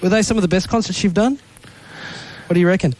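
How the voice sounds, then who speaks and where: A man's voice: sits high, fast, a little mellow, a little hiss or hum, low-quality recording. A man hosting a live call-in radio show, putting questions to a band. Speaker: radio host.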